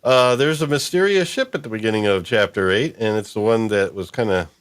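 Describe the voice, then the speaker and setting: A man with a deep, low voice hosts a casual discussion. He talks haltingly, hesitating between short phrases. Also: smooth. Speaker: podcast host. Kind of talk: casual chat.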